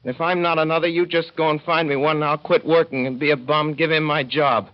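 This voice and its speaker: A man's voice: on the high side, steady tone, a little fast, natural voice. An actor playing a slangy, heartbroken young man scolding himself, in a 1930s radio drama. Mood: angry at himself. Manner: slangy, rueful, talking to himself. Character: lovesick working-class young man, self-reproaching. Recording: dull old radio broadcast.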